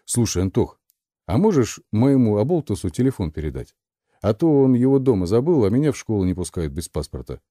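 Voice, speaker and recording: A man's voice: lowish voice, very animated, brisk, warm tone, no room sound, clean studio sound. A man playing a shady, chummy young guy. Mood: chummy, coaxing. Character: young man, shady, falsely friendly, coaxing a child.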